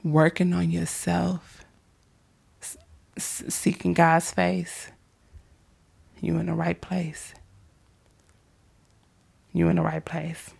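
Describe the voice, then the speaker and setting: Woman podcast host, hoarse and raspy, fading into breathy whispers; gentle pep talk on faith. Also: lowish voice, level tone, takes it slowly, long pauses. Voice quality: hoarse, gravelly. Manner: soft-spoken, drops into whispers, affectionate. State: losing her voice. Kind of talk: casual faith-based encouragement.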